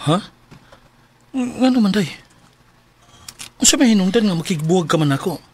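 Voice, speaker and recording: A man's voice: high voice, expressive, normal speed, background noise. A man with a Cebuano accent playing a heartbroken working-class father in a radio drama. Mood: pleading. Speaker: radio drama actor and narrator.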